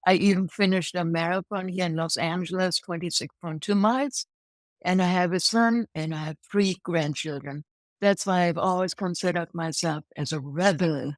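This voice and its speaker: A woman's voice: fairly low pitch, normal speed, no room sound. A woman in her sixties from Germany with a German accent, telling her life story as an interview guest, upbeat and laughing often. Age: 60s. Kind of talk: interview chat.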